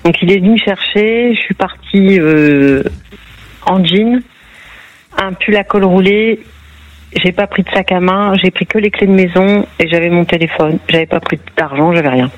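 A woman's voice: lowish voice, normal speed, no echo. A middle-aged woman from northern France telling her story on a radio phone-in, acting out the dialogue as she goes. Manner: chatty, tells story with quoted dialogue. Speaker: caller. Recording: muffled phone-in line on radio.

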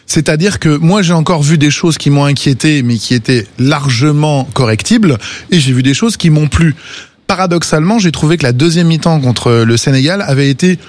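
A man gives football analysis on a radio panel, his voice a touch high. He talks in long, animated runs full of strong opinions, thinking aloud with plenty of fillers. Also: fairly quick. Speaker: football pundit.

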